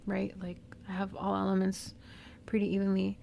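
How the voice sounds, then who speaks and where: A woman with a Southern California accent whose first language is Spanish, talking unscripted and reflectively in a slow, pausing monologue as a podcast host. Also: mid-range voice, monotone, gravelly, dark tone, dull audio, a little hiss or hum. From Mexican-American. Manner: slow, reflective, with pauses and fillers.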